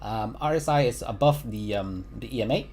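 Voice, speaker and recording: A man's voice: fairly low pitch, darkish tone, a little hiss or hum. A man casually talking through market charts, with lots of fillers and restarts, recorded at home. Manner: halting, many fillers and restarts; chuckles at own remarks. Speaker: crypto market analyst. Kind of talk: casual chart analysis commentary.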